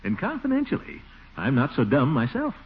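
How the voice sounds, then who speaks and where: A pompous middle-aged small-town schemer in an old radio comedy. He blusters and boasts with big swoops of pitch and self-satisfied chuckles. The broadcast is narrow-band and scratchy, with faint noise. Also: wildly swooping pitch, a little fast. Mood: boastful. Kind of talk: 1940s radio comedy acting.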